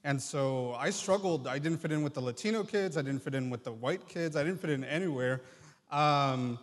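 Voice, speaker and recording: A man in his twenties from Mexico and California, a native Triqui speaker, giving an earnest, halting personal testimony. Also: fairly high pitch. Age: 20s. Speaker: guest speaker, medical student. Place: large church room, live address. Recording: long hall reverb.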